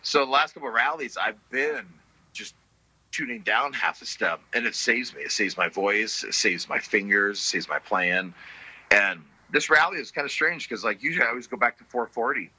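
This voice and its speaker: A middle-aged man, a blues musician guest, talking in a relaxed, story-telling way full of fillers. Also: mid-range voice, normal speed, slightly rough, no room sound, rough recording. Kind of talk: casual interview chat.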